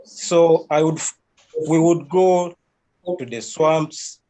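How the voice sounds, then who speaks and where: A man's voice: fairly high pitch, slow pace, dark tone, low-quality recording. A man answering on a panel, telling his story and posing and answering his own questions. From eastern Uganda. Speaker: panelist, climate activist.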